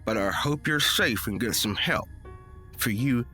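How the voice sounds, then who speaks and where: A man narrates a personal story in a bright, forceful voice that moves up and down a lot. He tells it conversationally and with emphasis, taking his time, with music playing underneath. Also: mid-range voice, lively intonation, unhurried. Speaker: story reader. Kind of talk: dramatic first-person storytelling, colloquial scripted narration.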